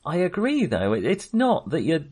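A man's voice: a little high, huge pitch swings, normal speed, darkish tone, breathy edge. A man co-hosting a film podcast, talking casually in a tentative, self-qualifying, agreeable way.

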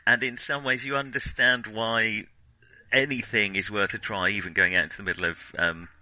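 A man's voice: lowish voice, steady tone, normal speed, sharp tone, no room sound, low-quality recording. A man co-hosting a film podcast in casual discussion, meandering and correcting himself as he feels his way through his thoughts. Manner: hesitant, meandering, self-correcting.

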